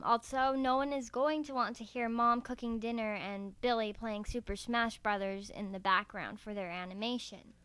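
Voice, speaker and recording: A woman's voice: a little high, fairly bright tone, dry recording. A woman narrating a scripted how-to tutorial in a careful, step-by-step way. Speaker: voice acting tutorial presenter. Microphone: USB karaoke microphone.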